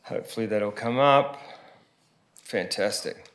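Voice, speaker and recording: A man's voice: fairly low pitch, a little slow, fairly bright tone. An Australian man, a company CEO, giving a formal presentation to a city council, with frequent ums and restarts. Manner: hesitant, many ums and restarts. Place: council chamber.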